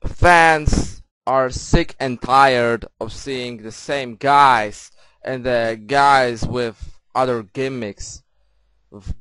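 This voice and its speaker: Polish guy hyping himself up for a wrestling match, slow and boastful, says you see a lot, dry close mic. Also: mid-range voice, fairly bright tone, clear, resonant tone, no echo, poor audio. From Poland. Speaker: wrestler cutting a promo.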